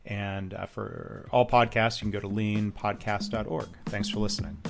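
A man hosting a podcast interview and reading his own promos, relaxed, with frequent ums and uhs. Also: fairly low pitch, normal speed, vocal fry, faint music behind. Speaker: podcast host.

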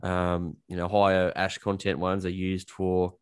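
A man's voice: deep voice, completely flat delivery, measured pace, smooth, no room sound, studio quality. An Australian man chatting casually as an interview guest, explaining things in a blunt, jokey, colloquial way. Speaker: podcast guest, coal miner. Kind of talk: casual chat, explaining his work.

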